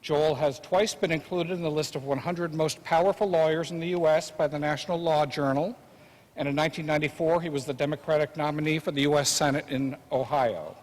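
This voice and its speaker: A man representing a sponsor, reading a moderator's introduction with halting delivery and many fillers. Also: fairly high pitch, fairly even intonation. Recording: long hall reverb.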